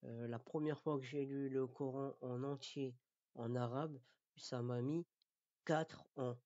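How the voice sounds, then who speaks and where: Halting male teacher, slightly dull audio. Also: mid-range voice, fairly even intonation, mellow, no echo. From France. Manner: halting, repeats words and phrases; didactic, checks understanding. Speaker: Quran recitation teacher. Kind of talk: informal spoken lesson addressed to learners.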